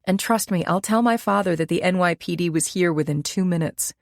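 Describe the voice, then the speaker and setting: A woman's voice: fairly low pitch, fairly quick, creaky, no room sound, clean studio sound. A woman voicing a wry, shaken woman after a mugging.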